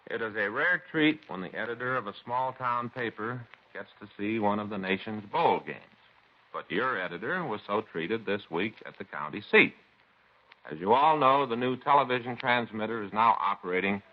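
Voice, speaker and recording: Low-voiced, folksy police sidekick. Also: male voice. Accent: American. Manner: folksy, insistent. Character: chatty, stubborn, folksy male police detective sidekick. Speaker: police detective, the partner. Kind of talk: 1950s radio crime drama acting, conversational. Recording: dull old radio recording.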